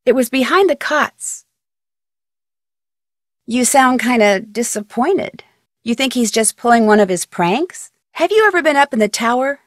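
A young female sleuth from a mystery video game, curious and polite. Her voice sits on the high side and moves with animated intonation. The sound is dry and close. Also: a little slow, no echo. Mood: curious, probing. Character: inquisitive, polite young female amateur detective.

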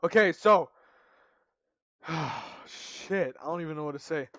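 Young male gamer, hoarse from screaming, high-pitched, frightened muttering into a headset. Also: slow pace, fairly bright tone, no room sound, muffled recording. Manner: jumpy, panicky, screams and mutters. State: losing his voice, hoarse. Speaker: gaming YouTuber. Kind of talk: horror game let's play commentary. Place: small room at home, indoors. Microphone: headset mic.

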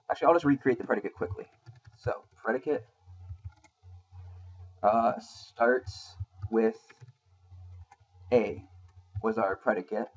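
A man's voice: medium pitch, lo-fi sound. Casual man hosting a coding tutorial. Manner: casual, thinking aloud with many ums. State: slightly sore throat. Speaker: programming tutorial host. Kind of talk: screen-recorded coding tutorial. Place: at a computer, voice-over on screen capture.

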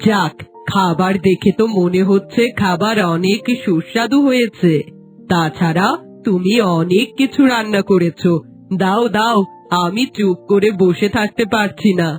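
A woman's voice: mid-range voice, a little slow, soft background music, close to an everyday voice. A woman voicing a demanding older queen mother-in-law in a fairy-tale cartoon, imperious and exacting.

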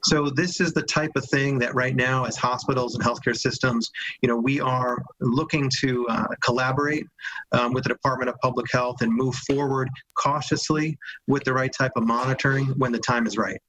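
Measured man answering over video call. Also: medium pitch, steady tone, fairly bright tone, low-quality recording. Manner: measured, careful with frequent fillers. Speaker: hospital chief medical officer. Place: home room with framed art. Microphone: video call webcam.

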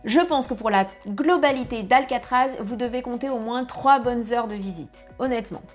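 A woman in her thirties giving travel tips to camera from her home office, lively and chatty, on a lapel mic. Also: on the high side, normal speed, faint music behind. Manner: lively, chatty, gesturing with hands. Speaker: family travel vlogger. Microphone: clip-on lapel mic.

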